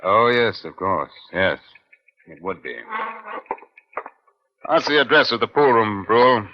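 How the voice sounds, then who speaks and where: An actor playing a calm middle-aged police inspector in a 1950s radio crime drama, measured, formal and dryly probing. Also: male voice, on the low side. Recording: dull, band-limited old radio recording.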